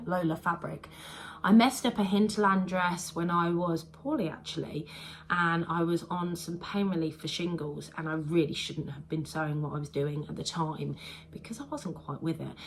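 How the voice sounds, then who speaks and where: A rambling woman talks through her sewing makes in a casual home vlog, her voice a touch low and stuffy from congestion. She drifts from point to point with plenty of "um"s. Faint room ambience sits behind her. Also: a little hiss or hum. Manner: chatty, rambling, with frequent "um"s. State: congested, a bit bunged up. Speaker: sewing vlogger. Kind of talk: casual chat to camera.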